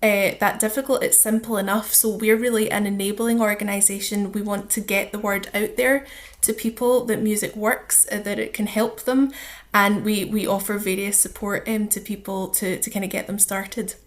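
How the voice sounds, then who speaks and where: Female charity guest, Scottish accent, mid-pitched and level in intonation, informal and explanatory interview delivery. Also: level tone, moderate pace.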